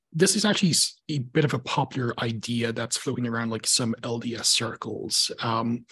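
A man's voice: mid-range voice, a bit bright, slightly rough. A man hosting a podcast interview over a video call on a headset mic, chatty with many fillers. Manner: chatty, many ums and likes. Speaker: podcast host. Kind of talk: casual interview. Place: home room, video call.

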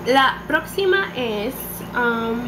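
A woman's voice: a little high, lively intonation, very slow. A nineteen-year-old woman from Puerto Rico with a Puerto Rican accent, chatting animatedly to a webcam and dropping English words into her talk. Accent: Puerto Rican Spanish. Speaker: beauty vlogger. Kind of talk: casual chat to camera. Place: small room indoors. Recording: home webcam recording with background noise.

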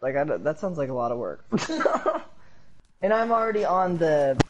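A man's voice: a little high, normal speed, noisy background. A man hosting a casual gaming stream from home on a headset, chatty and jokey. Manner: animated, jokey, talkative.

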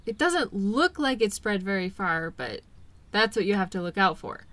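A woman playing a caring, patient girlfriend in an intimate role-play audio, talking gently and soothingly to her partner. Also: medium pitch, lively intonation, normal speed, no echo, close to an everyday voice. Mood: reassuring, light. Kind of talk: intimate one-sided role-play acting.

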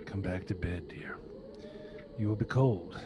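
A man's voice: low voice, unhurried, heavy background noise. A man playing a sorrowful, fearful elderly husband in a radio horror drama, grave and anxious. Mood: weary, puzzled.

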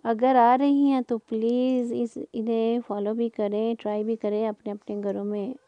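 A woman's voice: a little high, level tone, measured pace, no echo, lo-fi sound. A middle-aged woman, giving a casual, rambling voice-over as a home cooking vlogger. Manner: conversational, rambling.

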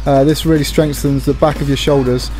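A man's voice: on the high side, little pitch movement, brisk, darkish tone, rough recording. A middle-aged man narrating an instructional exercise video in a plain, practical, explanatory way. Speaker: presenter demonstrating gym exercises. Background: music.

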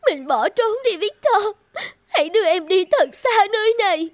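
A woman's voice: lively intonation, moderate pace, no room sound. A woman playing a desperate, strong-willed young woman in love in a dubbed cartoon, girlish, emotional and pleading. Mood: tearful. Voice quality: very high, girlish.

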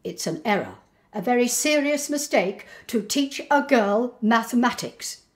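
A woman playing a senile, resentful old Victorian mother in a dramatic monologue, haughty and aggrieved with theatrical pauses. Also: on the high side, expressive.

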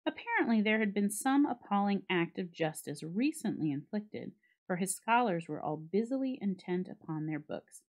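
A woman giving a dramatic reading of a classic story, with measured, expressive storytelling. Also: fairly low pitch. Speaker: library staff reader.

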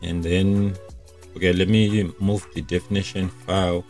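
A man's voice: low-pitched, level tone, measured pace, a little mellow, faint music behind. A young man presenting a casual step-by-step tech tutorial in an informal, explanatory way.

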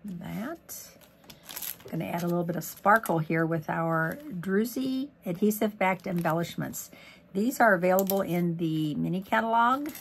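Gravelly, hoarse woman chatting through a craft demo. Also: on the low side, unhurried, a little hiss or hum. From Missouri, USA. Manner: chatty, rambling, lots of ums and asides. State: losing her voice, hoarse. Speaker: craft demonstrator. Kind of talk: casual crafting tutorial. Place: craft desk indoors.

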